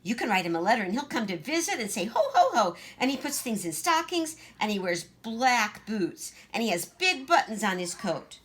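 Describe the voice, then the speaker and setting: Chatty, boastful little girl, acted by a woman, high and very animated, for a children's story. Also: normal speed, hardly any pauses. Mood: gushing. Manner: gushing, emphatic, know-it-all.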